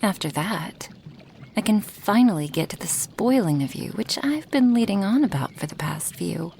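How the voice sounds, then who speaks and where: Woman acting as a soft dragon girl potion maker, asmr, lowish creaky voice, half whispering, affectionate, bit of noise behind. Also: lively intonation. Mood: tender, teasing. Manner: slow, breathy half-whispers, sighs and hums. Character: affectionate female dragon, a potion maker tending her human guest. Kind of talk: soft intimate ASMR roleplay.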